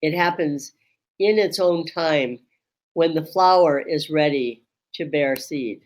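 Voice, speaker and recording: Woman teacher for a webinar talk. Unhurried, voice sits on the low side and carries across a room, reflective. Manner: slow, measured, pausing often with ums. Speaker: Reiki teacher hosting a webinar. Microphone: webinar microphone.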